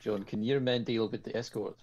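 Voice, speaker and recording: A man's voice: lowish voice, fairly even intonation, brisk, darkish tone. A man playing a tabletop roleplaying game, talking casually in character, on muffled audio. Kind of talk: casual in-character planning talk.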